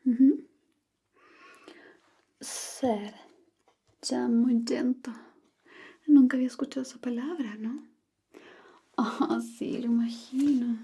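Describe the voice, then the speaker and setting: A woman whispering softly and teasingly, quizzing the listener on Chilean slang in an ASMR roleplay. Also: a little high, fairly even intonation, creaky, husky. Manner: slow, soft, teasing like a teacher. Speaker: Spanish slang teacher.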